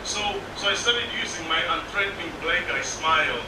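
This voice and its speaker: A young man from Zimbabwe doing stand-up comedy with a halting, stammering, awkward delivery. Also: fairly high pitch, animated. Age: young adult. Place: small comedy theatre stage. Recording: noisy, echoey live club, distant mic.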